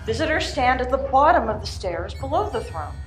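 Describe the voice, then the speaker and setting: A woman narrator reads a scripted radio play in a fairly high voice. The recording is roomy and live, with faint music behind her. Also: big pitch swings, brisk.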